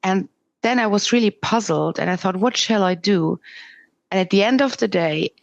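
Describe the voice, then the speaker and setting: A middle-aged woman with a Swiss German accent, speaking English as a second language, talking candidly as a podcast guest over a remote call with halting restarts. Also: medium pitch, creaky, dry recording, highs cut off. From Switzerland. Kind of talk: interview conversation.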